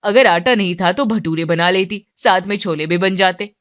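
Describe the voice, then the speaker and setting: A woman's voice: fairly low pitch, normal speed, natural voice. A woman voicing a food-loving young adult son in a cartoon moral story, questioning in a familiar family manner. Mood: complaining.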